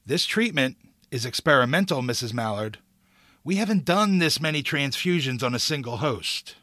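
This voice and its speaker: A man playing a cautious doctor in an audio drama, formal, measured and cautioning. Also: medium pitch, animated, pushed and bright, dry recording, clean studio sound. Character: cautious, formal male doctor urging restraint.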